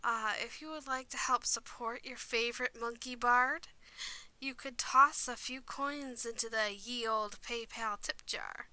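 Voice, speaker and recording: A woman with a fairly high, very bright voice gives an informal announcement to listeners. She speaks as the creator and host of a channel, in a dry, close recording. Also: steady tone, a little slow, no room sound.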